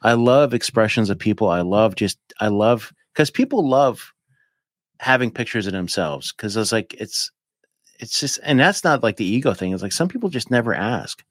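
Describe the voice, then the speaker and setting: A man in his fifties, a podcast guest, chatting casually in a rambling, animated way with lots of fillers. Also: fairly low pitch, moderate pace, no room sound, studio quality. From United States. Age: 50s. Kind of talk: casual chat.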